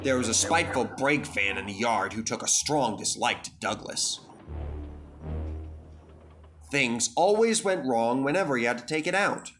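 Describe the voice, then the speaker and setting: A man's voice: mid-range voice, lively intonation, moderate pace, fairly bright tone, faint music behind. A man reading a scripted children's story as the narrator.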